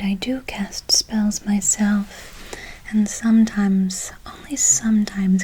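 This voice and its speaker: A woman playing a kindly, mysterious forest witch in a slow, breathy whisper, for an ASMR role play with soothing repeated words and quaint phrasing. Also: mid-range voice, dark tone, low-quality recording. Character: kindly, mysterious female forest witch casting good-luck spells.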